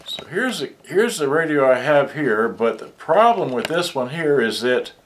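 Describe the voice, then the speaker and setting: A man's voice: on the high side, sing-song, normal speed, a little hiss or hum. Older man rambling, roomy distant sound. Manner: muttering to himself, distracted, trailing off. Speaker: hobbyist video maker. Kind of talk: casual rambling show-and-tell. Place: indoors in a cluttered home room. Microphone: camera mic at a distance.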